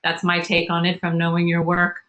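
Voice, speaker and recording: Bright-toned woman host, lower pitched. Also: monotone, brisk, highs cut off. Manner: curious, probing questions. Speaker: host and moderator. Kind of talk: panel moderation. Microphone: video call.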